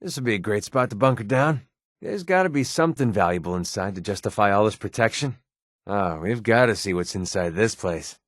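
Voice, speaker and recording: A man's voice: lowish voice, animated, brisk, no echo, clean studio sound, lightly acted. A man with an American accent playing a sarcastic, wisecracking young mercenary companion in a video game. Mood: wary, alert. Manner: sardonic, wisecracking, colloquial. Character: wry, sarcastic young male wasteland mercenary. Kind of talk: video game companion dialogue, naturalistic acting.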